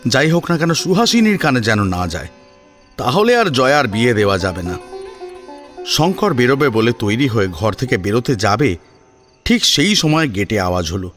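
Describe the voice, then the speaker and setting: A man narrating a scripted family drama in an expressive, suspenseful storytelling manner. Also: mid-range voice, normal speed, soft background music.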